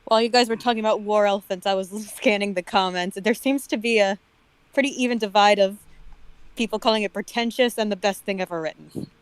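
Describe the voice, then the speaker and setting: A woman with a crisp, clear voice at a middling pitch, recorded dry. She chats casually as a guest among co-hosts. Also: fairly even intonation, brisk, no echo, poor audio. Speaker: guest co-host. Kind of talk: casual chat.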